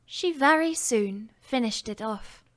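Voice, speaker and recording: Woman audiobook narrator, high voice, slow gentle storytelling, soft whispers. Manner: gentle, expressive storytelling, drops to whispers at times.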